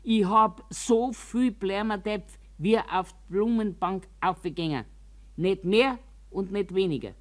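An older actress playing an embittered, quarrelsome and anxious old care-home resident in a radio monologue, with a Bavarian accent and a grumbling, emphatic, deliberate delivery. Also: female voice, medium pitch, lightly acted. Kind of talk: dramatic radio monologue in colloquial dialect.

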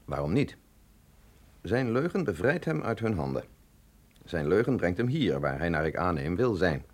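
A man playing an urbane, skeptical physiologist in a radio drama, speaking with measured, ironic eloquence. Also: sits low, very animated. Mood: wry, ironic. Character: wry, skeptical male physiologist, urbane and philosophical. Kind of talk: Dutch radio drama acting.

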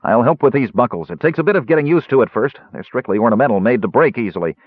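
A man voicing a gruff, brisk arena trainer in a fantasy story, evasive beneath forced joviality. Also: mid-range voice, animated, no room sound, phone-quality sound, pitched above the performer's own voice. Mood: gruff, forced cheer.

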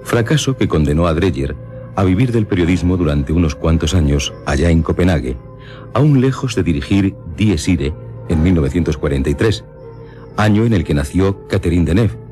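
A man with a Castilian Spanish accent hosting and narrating a literary radio programme in measured, dramatic phrases. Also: deep voice, lively intonation, mellow. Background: background music.

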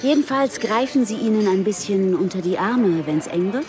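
Sharp, skeptical female police detective, played by a woman. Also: medium pitch, lively intonation, normal speed, music in the background, close to an everyday voice. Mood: sceptical, pointed. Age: around 40. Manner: pointed, dry, challenging questions. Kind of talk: naturalistic radio drama acting.

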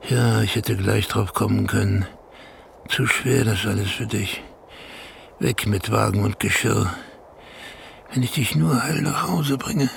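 An actor playing a weary old herder in a radio play, tender and sorrowful, with a gravelly voice. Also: male voice, lowish voice, measured pace, long pauses, slight background noise. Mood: worried, tender. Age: older. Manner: talks gently to his exhausted horse. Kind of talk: German radio play acting.

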